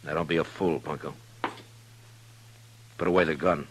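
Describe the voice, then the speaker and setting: A man playing a calm, tough western marshal in a 1950s radio drama, speaking in a laconic, authoritative way. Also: extremely low pitch, moderate pace. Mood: cool, firm. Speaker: radio actor. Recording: noisy, humming 1950s radio recording.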